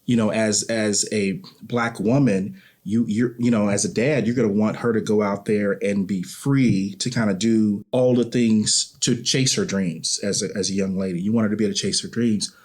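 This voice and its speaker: Guy with a fairly deep voice, thoughtful interview guest, earnest, circles back on his phrases. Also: fairly even intonation, moderate pace, few pauses, a little mellow. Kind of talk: reflective interview conversation.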